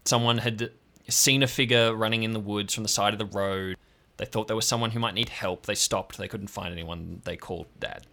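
Man acting stern woman ranger, brusque, exasperated, bright forceful tone, low-pitched, halting delivery, audio drama. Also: fairly even intonation, vocal fry. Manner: blunt, authoritative, halting.

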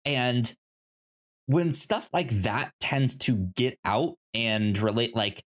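Man, medium-pitched voice, frequent stutter, halting, informal rambling, casual co-host chat. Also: animated. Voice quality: frequent stutter, repeating sounds and words. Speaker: podcast co-host. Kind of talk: casual conversational film discussion.

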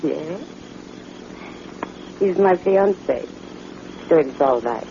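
Sultry, mellow frontier temptress. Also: female voice, deep voice, animated, slow pace, heavily acted. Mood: flirtatious. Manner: sultry, forward, languid. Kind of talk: old-time radio western acting. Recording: muffled, noisy vintage radio recording.

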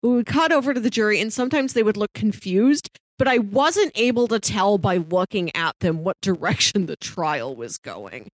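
A woman's voice: mid-range voice, expressive, normal speed, few pauses, no room sound. A woman co-hosting a podcast, talking casually as she reviews a television series. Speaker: podcast host.

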